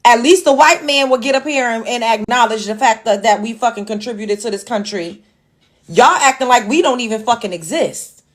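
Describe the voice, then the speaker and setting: A woman's voice: fairly high pitch, brisk. A woman in a played clip delivering a blunt, impassioned monologue full of rhetorical questions.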